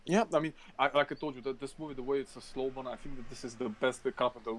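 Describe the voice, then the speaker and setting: A man's voice: medium pitch, steady tone, fast talker, slight background noise. A man co-hosting a podcast, talking about a film in a blunt, sweary, enthusiastic way. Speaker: podcast co-host. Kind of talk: casual film chat.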